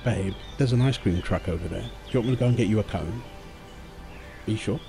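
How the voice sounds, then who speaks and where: A man's voice: fairly low pitch, fast, gravelly, music in the background. A man playing a doting young husband and new father, tender and playful, in an ASMR boyfriend roleplay. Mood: easygoing, caring.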